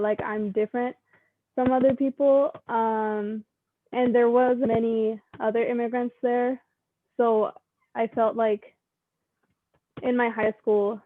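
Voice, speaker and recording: A young woman from Mexico, speaking earnestly in a casual interview with lots of "um" and "like". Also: on the high side. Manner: earnest, many fillers like "um" and "like". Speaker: guest. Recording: dry tinny phone-like sound.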